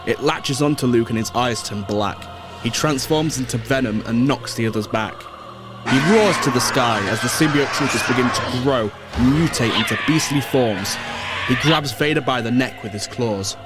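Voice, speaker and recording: A man's voice: mid-range voice, normal speed, loud music behind the voice. A man reading scripted action narration as the storyteller of an audio drama.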